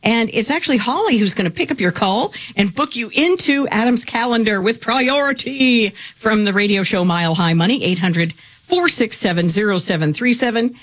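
Radio co-host, brisk, promotional, short replies. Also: mid-range voice, fairly bright tone. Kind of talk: announcement and brief responses.

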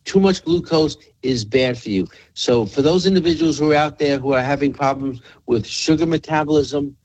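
A man's voice: fairly high pitch, normal speed, a little mellow, muffled recording. A man hosting a health radio show, explaining in an emphatic way and repeating phrases for effect. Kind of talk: health talk radio with product pitch.

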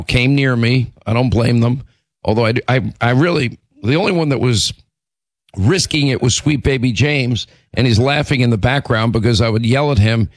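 Hoarse, raspy radio host, dry studio sound. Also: male voice, mid-range voice, dry recording. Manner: emphatic, repetitive, combative. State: hoarse with laryngitis, clearing throat. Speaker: radio talk show host. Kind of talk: talk radio chat. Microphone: studio microphone.

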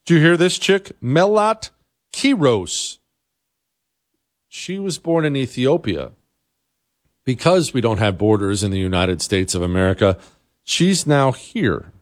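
A man's voice: mid-range voice, sing-song, a little slow, long pauses. A man hosting a political commentary show, delivering an opinionated monologue in a scornful, sarcastic manner. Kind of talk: opinionated monologue commentary over played clips.

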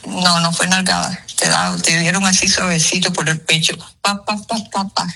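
An older woman talking on the phone with her son, affectionate, chatty and quick with a comeback. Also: lowish voice, steady tone, vocal fry, bright, forceful tone, a little hiss or hum. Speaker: host's mother on the phone. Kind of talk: casual phone chat.

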